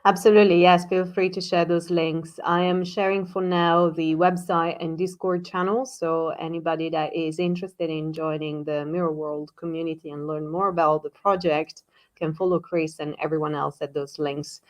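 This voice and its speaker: A woman's voice: low-pitched, level tone, moderate pace. A woman hosting a virtual presentation on a video call, polite and welcoming, with a few ums. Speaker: event host.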